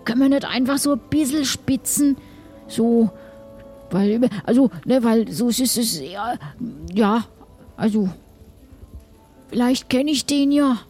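A woman playing a chatty, excitable crew member, colloquial and rambling, in an improvised role-play comedy. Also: a little high, unhurried, long pauses, slightly rough. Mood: unsure. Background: faint music.

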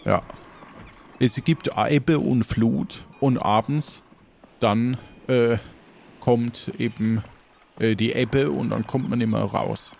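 Man playing a slow, folksy harbour local. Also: mid-range voice, fairly even intonation, creaky, a little mellow, slight background noise. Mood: welcoming, hesitant. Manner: hesitant, folksy. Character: slow, friendly, rustic harbour local man, simple-hearted. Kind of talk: improvised audio play.

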